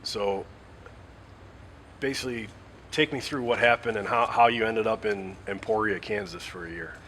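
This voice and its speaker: Low-voiced man asking interview questions. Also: moderate pace, a bit bright, a little hiss or hum. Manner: long wordy questions with fillers.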